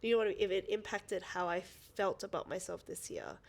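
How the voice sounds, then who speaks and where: Woman, 30s, podcast co-host, mid-pitched, slightly stuffy, rambling conversational delivery. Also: gravelly. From Australia. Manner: rambling, self-interrupting, quick to laugh. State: a little sniffly. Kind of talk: casual chat.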